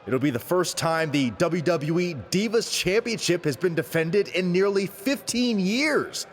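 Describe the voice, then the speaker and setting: A man playing an earnest wrestling presenter who formally hosts an event in a video game. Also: on the high side. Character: earnest male wrestling host moderating a contract signing. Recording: long arena reverb, faint noise.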